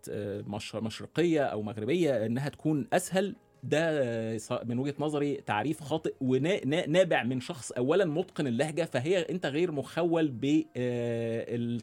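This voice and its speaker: A man with an Egyptian accent, a guest who gives his views in a reflective, halting way, with many restarts and fillers. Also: mid-range voice, darkish tone. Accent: Egyptian Arabic. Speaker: guest, founder of a dialect initiative. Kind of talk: reflective interview talk.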